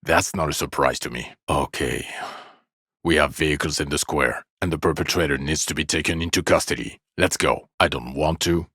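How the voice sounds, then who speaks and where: An actor playing a jaded, bitter police detective in a video game, speaking with blunt, weary, deadpan sarcasm in a gravelly voice. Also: male voice, extremely low pitch, no echo, clean studio sound, full character voice. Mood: angry, fed up. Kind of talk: dramatic video game dialogue.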